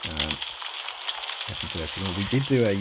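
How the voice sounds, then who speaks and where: Relaxed man, nasal from a cold. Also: low-pitched, normal speed, very noisy. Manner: relaxed, rambling, frequent ums and uhs. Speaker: speedrunner commentating his run. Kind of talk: casual live commentary over gameplay. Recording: game music playing behind the voice.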